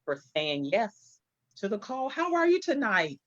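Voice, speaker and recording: A woman hosting an online interview show, warm and encouraging, who calls her guest "sis". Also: medium pitch, sing-song, measured pace, no echo. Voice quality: speaks from personal experience of hair loss. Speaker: host. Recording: dry, muffled sound.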